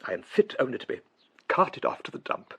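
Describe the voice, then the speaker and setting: An actor playing a gentle, self-doubting elderly English clergyman in a period radio drama, husky and hesitant, musing courteously. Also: fairly quick, lightly acted. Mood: troubled, confiding. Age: older.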